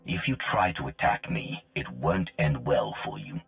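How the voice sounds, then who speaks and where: An actor playing a cool, pragmatic, battle-hardened mercenary in a video game, terse and stoic, with a gravelly voice. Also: male voice, extremely low pitch, very animated, unhurried, a bit bright, soft background music, exaggerated voice. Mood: cool warning. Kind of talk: video game cutscene acting.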